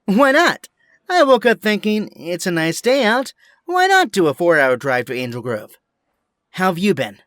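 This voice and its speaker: A man acts an upbeat young male hero and supportive friend in an audio drama. His highish voice moves animatedly, encouraging, with no room sound at all. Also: wildly swooping pitch, normal speed, a bit bright, no echo, full character voice. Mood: friendly, encouraging.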